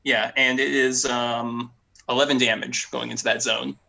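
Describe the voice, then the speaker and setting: A man's voice: medium pitch, level tone, normal speed, creaky, pushed and bright. A man playing a tabletop game, chatting casually as a player at the table.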